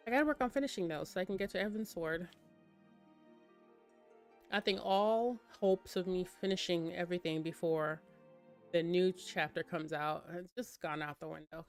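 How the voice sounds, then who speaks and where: A young woman with a fairly low voice chats loosely while she plays, rambling and laughing at her own mix-ups. She has a slight cold and sounds a little sniffly. Faint music plays underneath. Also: clean tone. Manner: chatty, rambling, self-mocking asides. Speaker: variety game streamer. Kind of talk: casual live-stream chat while gaming.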